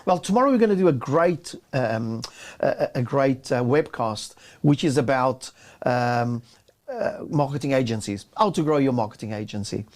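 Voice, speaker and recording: A man's voice: mid-range voice, lively intonation, normal speed. A man co-hosting a casual business chat, often restarting and repeating words as he talks. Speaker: co-host.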